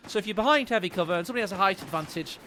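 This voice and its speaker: High-voiced playful male game caster. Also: lively intonation, rapid-fire, pushed and bright, a little hiss or hum. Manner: playful, jokey, chats to viewers. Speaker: esports caster. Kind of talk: live game commentary on stream.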